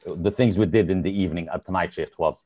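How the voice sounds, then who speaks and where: Deep-voiced Lebanese-born man, dull remote audio. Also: fast talker, noisy background. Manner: searches for words, hesitant. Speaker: podcast guest. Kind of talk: casual interview chat.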